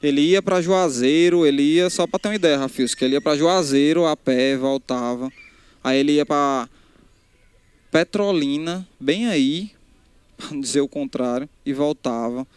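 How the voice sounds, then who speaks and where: A man's voice: fairly high pitch, normal speed, smooth, dry recording. A young man preaching a casual live sermon, talking straight to the crowd and often restarting his sentences. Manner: conversational, addresses crowd directly, frequent restarts. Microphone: handheld microphone.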